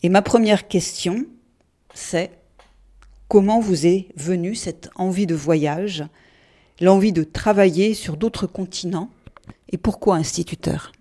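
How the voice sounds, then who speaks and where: A woman hosting a radio interview, reading a prepared introduction of her guest in a measured way. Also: lowish voice, mellow. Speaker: radio host.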